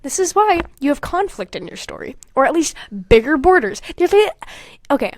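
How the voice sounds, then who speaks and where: A young woman co-hosting a casual podcast, animated and sweary, with self-mocking asides. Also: high-pitched, moderate pace, dry recording. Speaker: podcast co-host. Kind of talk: casual podcast chat, reading old fan fiction aloud with mocking commentary.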